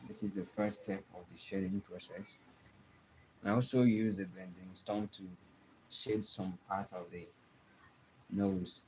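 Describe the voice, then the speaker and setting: A young man with a Nigerian accent, giving a live drawing tutorial and talking softly as he works. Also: deep voice, fairly even intonation, a little hiss or hum, poor audio. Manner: slow, soft-spoken, trailing off while drawing. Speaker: art teacher streaming live.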